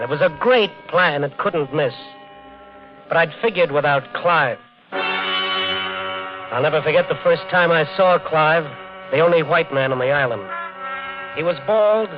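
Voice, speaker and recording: A man's voice: on the high side, close to an everyday voice. An actor with an American accent playing a scheming hustler who tells his own story in a 1950s radio drama. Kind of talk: 1950s radio drama acting with first-person narration. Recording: muffled 1950 radio broadcast, faint music.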